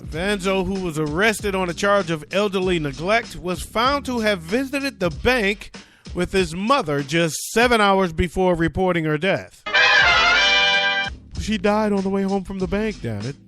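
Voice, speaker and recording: High-voiced sarcastic podcast host. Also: male voice, expressive, a little slow, over loud music. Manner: sarcastic, joking asides. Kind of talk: comic news commentary.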